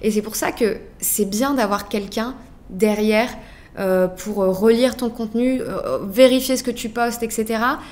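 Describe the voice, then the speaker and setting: A woman from France, a podcast guest speaking in a candid, lively way and quick to laugh in casual conversation. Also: fairly high pitch.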